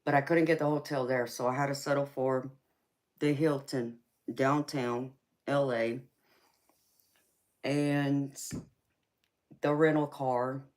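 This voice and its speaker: A woman with a Southern US accent talks with her live stream viewers in an easy, chatty way. She speaks at an unhurried pace, laughs often and calls people baby. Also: rumbling low, steady tone, darkish tone. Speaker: tarot reader hosting a live stream. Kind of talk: casual live chat with viewers.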